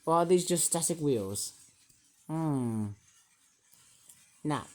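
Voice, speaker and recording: High-voiced man, playful toy review. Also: slow pace. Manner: playful, rambling, with sudden excited outbursts. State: voice not fully well. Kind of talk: casual toy review vlog.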